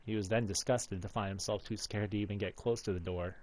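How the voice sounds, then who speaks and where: Low-voiced man narrating a visual novel. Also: fairly even intonation, a little fast, darkish tone. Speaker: video game playthrough narrator.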